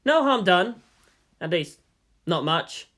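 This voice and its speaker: Storybook role: tired but patient boy on a journey, dry and reassuring, lightly high , read by a man. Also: very animated, slow pace, fairly bright tone, exaggerated voice. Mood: patient, weary.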